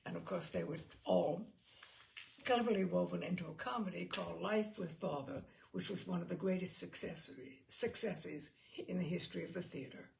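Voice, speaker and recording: A woman reading literary stories aloud as a storyteller, with expressive, theatrical phrasing. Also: fairly low pitch, normal speed, vocal fry, a little hiss or hum. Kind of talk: literary storytelling with informal introduction.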